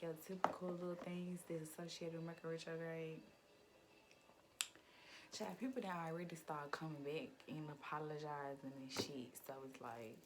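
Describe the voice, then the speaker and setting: Young woman, low and slightly raspy, slangy and relaxed, recorded on a noisy phone mic. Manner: laid-back, rambling, slangy, laughs often. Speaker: tarot reader. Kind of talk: casual chat. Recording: noisy background hiss.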